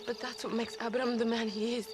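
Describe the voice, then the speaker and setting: A woman's voice: a little high, level tone, a little fast, faint music behind, close to an everyday voice. A woman playing a young Mexican peasant revolutionary in a video game, earnest, romantic and melodramatic, with a Mexican accent. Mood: lovelorn, proud. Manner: melodramatic, fervent. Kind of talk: video game cutscene acting.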